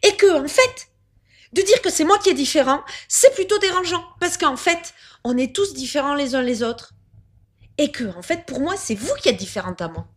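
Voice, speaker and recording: A woman's voice: high-pitched, big pitch swings, moderate pace. A woman playing a stubborn clown lady with a rolled R. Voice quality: rolled R, strongly marked accent. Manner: insistent, repeats herself, turns arguments around. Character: stubborn self-assured woman clown with odd accent. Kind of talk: comic clown sketch interview.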